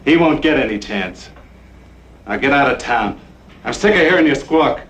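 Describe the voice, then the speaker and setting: An actor playing a hard, impatient outlaw boss in an old western film, curt and taunting. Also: male voice, mid-range voice, lively intonation, moderate pace, lo-fi sound, natural voice. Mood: curt, scornful. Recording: noisy old film soundtrack.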